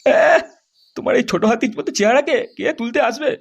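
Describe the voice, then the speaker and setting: A man's voice: extremely high pitch, quick pace, slight background noise. A man voicing a jealous, foul-mouthed husband who bosses his buddies around, brash and threatening, in a crude comedy cartoon. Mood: irritated, evasive.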